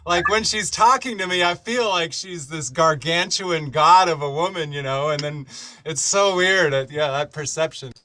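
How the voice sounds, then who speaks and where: A man in a casual group video chat, musing with animated asides. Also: fairly high pitch, moderate pace.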